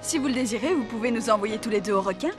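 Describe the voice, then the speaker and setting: A woman playing a spirited, imperious young princess in a fairy-tale cartoon, speaking in a theatrical, expressive way. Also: a little high, fast, over music, lightly acted. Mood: tender. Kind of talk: cartoon voice acting.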